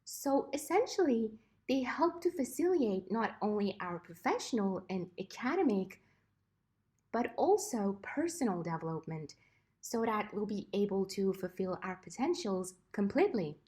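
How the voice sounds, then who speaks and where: A woman giving a fluent, prepared answer as a podcast guest, moving through her points in measured phrases. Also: medium pitch, very animated, a little mellow. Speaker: podcast guest, former mentee. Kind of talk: prepared answer, read smoothly.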